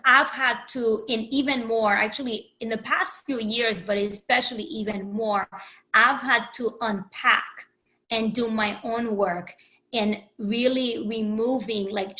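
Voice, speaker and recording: A woman's voice: a little high, bright, forceful tone. A woman from Haiti, a podcast host and coach, reflecting candidly in a rambling solo talk with many restarts. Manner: candid, rambling, with frequent restarts and "you know".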